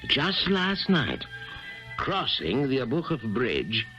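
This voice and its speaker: An actor playing a pompous, blustering older Russian general in a radio drama, hemming and self-important. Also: male voice, mid-range voice, huge pitch swings, takes it slowly, pushed and bright, heavily acted. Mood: rueful confiding. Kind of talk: 1970s radio drama acting. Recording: dull old radio broadcast with music.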